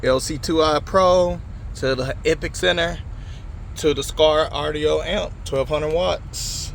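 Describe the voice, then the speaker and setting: Higher-voiced man vlogging over clear noise. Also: steady tone, takes it slowly. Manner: informal, slangy, swears casually. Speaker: car audio vlogger. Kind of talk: casual hands-on install vlog talk. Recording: noisy vehicle and outdoor phone-video sound.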